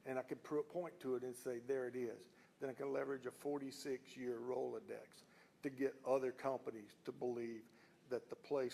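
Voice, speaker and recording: The gravel-voiced Southern US company boss you'd hear at a podium at a local jobs announcement, talking plainly and emphatically to officials in a down-home way. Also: male voice, mid-range voice, mellow, slight background noise. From southern United States (Alabama and Louisiana). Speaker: company executive speaking at an announcement. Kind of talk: informal public speech.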